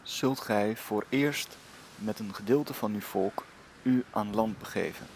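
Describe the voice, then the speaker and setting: A man's voice: lowish voice, unhurried, darkish tone, slight background noise. A man reading an old formal text aloud.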